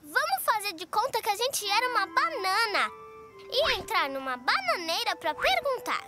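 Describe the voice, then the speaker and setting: Small cartoon boy, Brazilian accent, high pitch, unhurried, loud music behind. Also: very animated. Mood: excited. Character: timid, imaginative little boy, polite. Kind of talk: children's cartoon dub.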